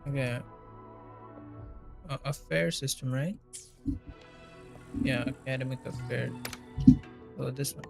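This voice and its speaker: Creaky-voiced man streaming a game. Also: medium pitch, fairly even intonation, slow, pauses often. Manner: muttering to himself, swears freely. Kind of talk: casual live gameplay commentary. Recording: game music behind the voice.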